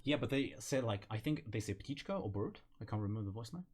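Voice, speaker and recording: A man's voice: mid-range voice, lively intonation, fast, a little mellow. A man presenting game voice lines in casual banter, playful and given to explaining.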